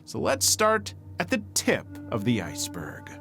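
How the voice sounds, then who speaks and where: A man reading scripted true-crime narration in a measured, dramatic storytelling manner. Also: mid-range voice, wildly swooping pitch, vocal fry, fairly bright tone, soft background music.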